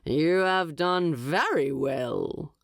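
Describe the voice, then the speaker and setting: A woman's voice: low voice, extremely slow, gravelly, no echo, studio quality, heavily acted. A woman voicing a vain, haughty male king of giant eagles in a storybook reading, regal, pompous and wry. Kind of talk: audiobook storytelling.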